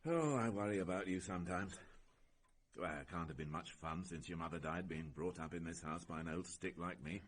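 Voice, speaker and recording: An actor playing a gentle, worried, ageing father in a vintage radio drama, speaking solicitously. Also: deep voice, brisk, close to an everyday voice. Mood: concerned, tender. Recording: noisy vintage radio play recording.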